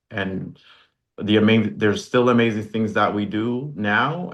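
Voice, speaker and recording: A man's voice: fairly low pitch, fairly bright tone. A man in his forties, born in Canada, chatting casually as a podcast guest, rambling with fillers and restarts. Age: 40s. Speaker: podcast guest, brand founder. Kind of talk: casual interview chat.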